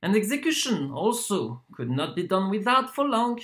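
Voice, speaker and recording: A performer playing a proud wandering torturer in a theatrical monologue, speaking deliberately with dramatic pauses and swings. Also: medium pitch, very animated, fairly bright tone. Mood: boastful, matter-of-fact. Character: proud wandering torturer from Poland, wheedling then contemptuous.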